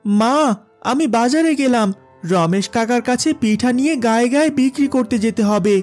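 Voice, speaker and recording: A man's voice: very high voice, normal speed, darkish tone. A man voicing an earnest poor village boy who hawks goods in a Bengali cartoon story. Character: Raju, earnest poor village boy selling rice cakes. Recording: music behind the voice.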